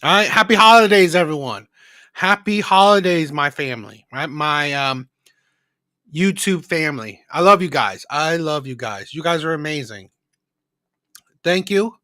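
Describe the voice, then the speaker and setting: A man's voice: on the high side, animated, a little slow, sharp tone, no echo, studio quality. A man from the Philippines hosting a livestream, chatting casually and unscripted, rambling and repeating himself with frequent checking tags. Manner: rambling, repetitive, often adding "right?" as a tag. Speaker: livestream host of a call-in show. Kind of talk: casual unscripted chat to live viewers.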